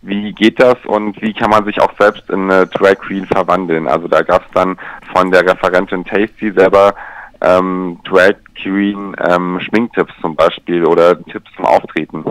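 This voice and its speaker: A man explaining things in a measured, structured way as a guest in a radio telephone interview. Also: low voice, flat delivery, no echo, poor audio. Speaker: interview guest, LGBT pride event organizer. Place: remote, by telephone.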